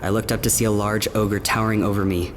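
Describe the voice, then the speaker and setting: Actor as a haunted low-voiced young man. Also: little pitch movement, fast talker, background noise, close to an everyday voice. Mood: sombre, haunted. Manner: hesitant, sombre storytelling. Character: haunted young man recalling childhood enslavement. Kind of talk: fantasy audio drama acting.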